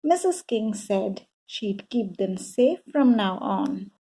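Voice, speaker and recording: A woman reading a children's bedtime story aloud in a deliberate, expressive way for young listeners. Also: medium pitch, gravelly, husky.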